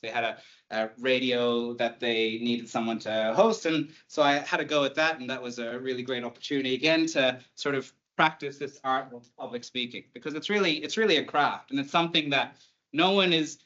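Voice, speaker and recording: A man's voice: mid-range voice, bright, forceful tone, lo-fi sound. A man in his thirties with an Irish accent, a university lecturer giving an online talk in a conversational way, with light humor and many fillers. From Ireland.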